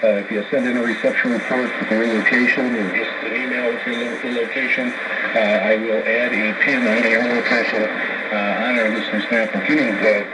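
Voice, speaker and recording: A man hosting a radio show, giving informal announcements in a halting, hesitant way with frequent uhs and repeated words. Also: mid-range voice, level tone. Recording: very noisy shortwave radio reception. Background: loud radio static and noise.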